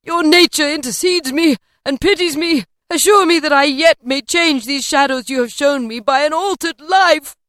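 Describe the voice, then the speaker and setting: A woman voicing an old miserly man, terrified and pleading, in a loud, high, desperate voice. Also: unhurried, no room sound, studio quality.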